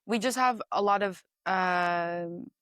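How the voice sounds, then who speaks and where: A young woman from Texas, a student guest chatting in an interview, friendly and attentive with quick reactions. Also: on the low side, a bit bright, clean tone, no room sound, studio quality. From Texas, USA. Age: young adult. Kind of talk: casual interview chat.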